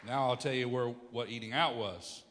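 A pastor in his fifties preaching a sermon, emphatic, swinging from loud bursts to hushed storytelling. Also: mid-range voice, moderate pace, long reverb tail, slight background noise.

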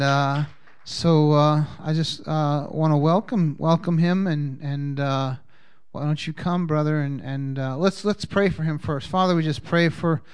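A man leading a congregation, giving announcements and praying in a halting, folksy way with many fillers. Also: a little high, a little mellow, smooth. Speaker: congregation leader. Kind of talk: church announcements and prayer.

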